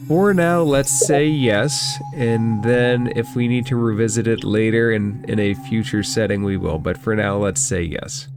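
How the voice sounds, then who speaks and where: Unhurried man running a tabletop game. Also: lowish voice, fairly even intonation. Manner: thinks rulings through aloud. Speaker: game master. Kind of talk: narrating and refereeing a tabletop game. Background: background music.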